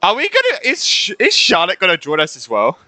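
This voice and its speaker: Excitable young man with bright voice. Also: sits high, fairly quick, dry recording. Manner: excitable, rambling, swears. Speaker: gaming streamer. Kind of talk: casual live game reaction commentary.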